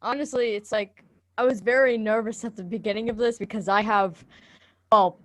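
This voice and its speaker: A woman's voice: fairly high pitch, fairly even intonation, moderate pace, a crowd in the background. A young woman, an amateur actor, chatting casually. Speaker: amateur actress in a script reading. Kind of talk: casual chat.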